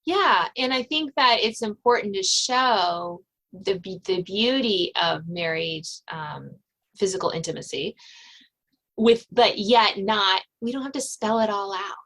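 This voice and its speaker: A woman's voice: medium pitch, animated, a little slow, a bit bright. Middle-aged woman hosting a conversational podcast. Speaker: podcast host.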